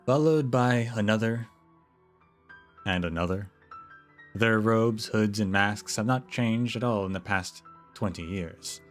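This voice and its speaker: A man streaming and reading a game's story aloud, relaxed, with chatty asides to viewers. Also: on the low side, a little slow, faint music behind. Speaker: streamer narrating a game.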